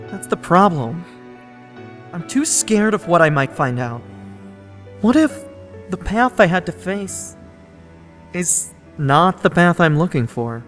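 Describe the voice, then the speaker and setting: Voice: medium pitch, sing-song, slow, long pauses, a bit bright, soft background music. A performer doing a nervous, curious young boy. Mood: fearful. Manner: inquisitive, nervous. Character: curious, anxious boy lost in another world. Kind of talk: fan comic dub acting.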